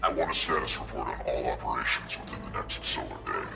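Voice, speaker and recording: An actor playing a huge, menacing alien crime lord in a video game, cold and authoritative, with a growling, processed voice. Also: male voice, extremely low pitch, quick pace, heavily acted. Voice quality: gravelly, growling. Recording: loud music behind the voice.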